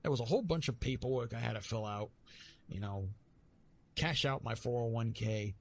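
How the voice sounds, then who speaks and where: A man voicing a weary, wry retired god of love in an ASMR roleplay, with dramatic, halting delivery and repeated words. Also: medium pitch, creaky. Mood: bemused grumbling.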